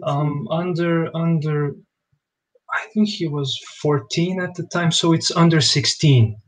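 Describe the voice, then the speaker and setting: A young man from Bosnia, a guest answering interview questions over a video call in a relaxed, thoughtful way. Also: a little high, a little slow, poor audio. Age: young adult. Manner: relaxed, thoughtful, "you know" fillers. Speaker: basketball player guest. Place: small home room.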